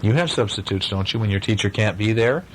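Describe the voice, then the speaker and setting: A man's voice: lowish voice, animated, quick pace, slight background noise, low-quality recording. A man telling a bedtime story aloud, with chatty, questioning asides as if to a child. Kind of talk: animated bedtime story reading with casual asides.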